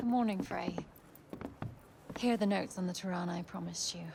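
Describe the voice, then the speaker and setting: An actress playing a gentle, earnest young woman, a devoted daughter, in a video game. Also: lowish voice, a little fast, gravelly, noisy background. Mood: kind, grateful. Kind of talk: naturalistic video game acting.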